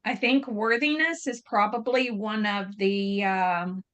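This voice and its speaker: A woman sharing reflective thoughts in a group discussion, speaking in a measured way. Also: medium pitch, steady tone, a bit bright, smooth, highs cut off.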